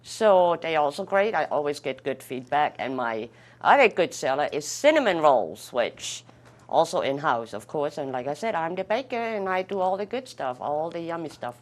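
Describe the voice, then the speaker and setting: A woman's voice: low voice, lively intonation, normal speed. A woman, a baker, chatting in a friendly, matter-of-fact way about her baked goods. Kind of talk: casual chat.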